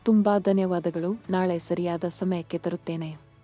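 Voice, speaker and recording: A woman voicing an earnest, hopeful young village daughter-in-law in an animated moral story, polite and pleading. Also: on the low side, moderate pace, soft background music, lightly acted. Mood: glad, earnest. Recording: muffled lo-fi sound.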